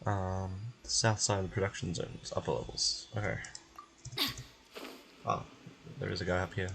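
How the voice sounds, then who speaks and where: A man streaming a game, giving casual, low-key commentary as he thinks aloud. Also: level tone, moderate pace, gravelly, a bit bright.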